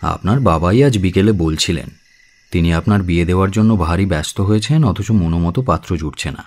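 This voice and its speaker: A man's voice: sits low, fairly quick, a little mellow. An actor playing a courteous, caring young man in an audio drama, speaking politely and earnestly. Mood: earnest, probing. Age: young adult.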